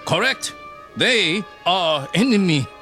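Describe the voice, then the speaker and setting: An actor playing a ruthless, contemptuous Japanese army captain in a radio drama, commanding and cold, with deliberate, emphatic phrasing. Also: male voice, high voice, lively intonation, bright, forceful tone, exaggerated voice. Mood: cold, lecturing. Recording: music under the voice.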